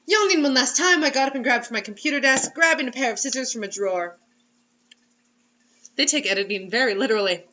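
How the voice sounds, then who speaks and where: A woman's voice: high-pitched, fast talker, sharp tone, muffled recording, a little hiss or hum. A woman reading a story aloud as host in a playful, theatrical, mocking way, breaking into casual comic asides. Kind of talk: comedic dramatic reading with casual commentary.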